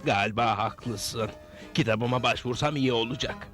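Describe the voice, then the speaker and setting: A man's voice: mid-range voice, normal speed, lightly acted. An older man playing a wise, fatherly old Smurf leader in a dubbed children's cartoon. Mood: thoughtful. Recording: music behind the voice.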